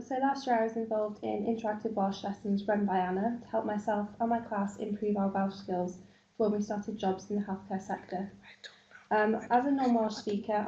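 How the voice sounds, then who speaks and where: A woman's voice: mid-range voice, creaky, warm tone, reverberant, muffled recording, a little hiss or hum. A young woman student reading a prepared account of her lessons, earnest, with ums. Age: young adult.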